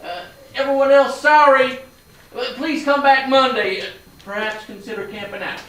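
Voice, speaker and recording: A man's voice: very high voice, steady tone, moderate pace, pushed and bright. A man playing a folksy, blunt bakery owner from Texas in a staged play reading. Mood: apologetic. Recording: echoey hall from far off, faint noise.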